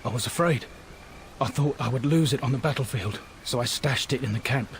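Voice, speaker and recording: A man playing a weary, loyal soldier turned deserter who is dying, speaking haltingly and solemnly in a dramatic video game. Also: mid-range voice, a little hiss or hum, close to an everyday voice. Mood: confessional, weary.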